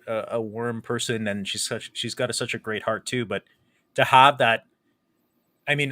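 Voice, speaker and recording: A man's voice: mid-range voice, bright, forceful tone. A man hosting a casual podcast interview, enthusiastic and often restarting his words. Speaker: podcast host.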